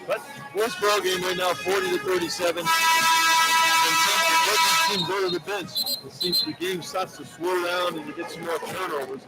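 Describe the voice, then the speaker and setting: A man's voice: sits high, expressive, normal speed, over loud music, rough recording. A man working as a radio color commentator at courtside, analyzing basketball in plain, conversational language. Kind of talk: live basketball analysis between plays. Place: courtside in an indoor arena.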